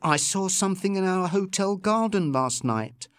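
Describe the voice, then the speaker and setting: A man reading a story, voicing a frightened young woman with a high, urgent voice. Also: animated, normal speed, clear, resonant tone, dry recording, clean studio sound. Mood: anxious.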